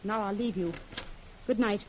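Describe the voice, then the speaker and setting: A woman's voice: medium pitch, moderate pace, darkish tone, lightly acted. Casting: woman playing sincere young heroine, firm but kind, mid-range voice, vintage radio melodrama. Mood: earnest, firm. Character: earnest, principled young woman, plucky and kind. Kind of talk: 1930s radio serial acting. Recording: dull, noisy old radio recording.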